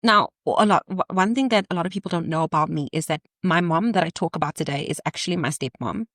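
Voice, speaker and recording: A woman in her thirties with a somewhat low voice, recorded close and dry with no room sound. She talks as a podcast guest, candid and animated, and slips in playful asides as she goes. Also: quick pace, no echo. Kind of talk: candid storytelling in conversation.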